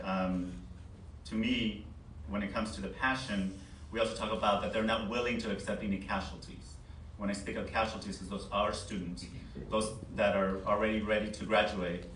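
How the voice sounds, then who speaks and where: Man, forum candidate; lowish voice with little inflection, picked up from a distance. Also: fairly bright tone. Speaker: school board candidate at a forum. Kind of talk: unscripted public forum answer. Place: meeting board room. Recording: echoey board room, distant mic, background noise.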